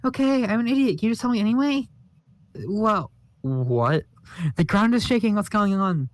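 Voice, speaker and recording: Man doing a goofy high-voiced teen boy. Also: expressive, moderate pace, dry recording. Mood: eager. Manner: eager, pleading, easygoing. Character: cheerful naive teenage boy, eager and goofy. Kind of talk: comic read-along voice acting.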